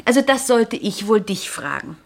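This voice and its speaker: A woman's voice: medium pitch, sing-song, moderate pace, creaky. An actress playing a wife in a radio play who deflects her husband's questions and firmly pushes him to talk. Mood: insistent. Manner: firm, defensive, brushing off worries.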